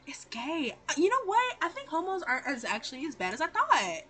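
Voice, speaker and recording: A young woman riffs her way through an amateur comedy cartoon dub. Her voice is a little high and sharply bright, swinging widely in pitch. She talks in slang, giggles and exclaims freely. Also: wildly swooping pitch, a little fast. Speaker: amateur fan dub performer. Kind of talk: loose improvised comedic cartoon fan dub.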